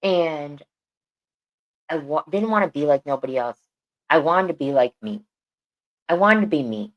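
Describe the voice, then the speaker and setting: A woman's voice: low voice, lively intonation, moderate pace, lo-fi sound. A woman co-hosting a podcast, talking earnestly and repeating phrases for emphasis. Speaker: podcast co-host. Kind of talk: casual podcast chat.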